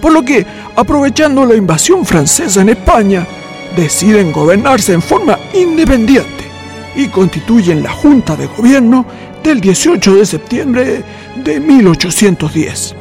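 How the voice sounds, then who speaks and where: An actor playing a courteous old storyteller in a radio drama, with a Chilean accent and a theatrical manner. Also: male voice, very high voice, sing-song, unhurried, darkish tone, soft background music, full character voice. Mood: solemn narrating. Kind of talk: Spanish-language radio drama acting.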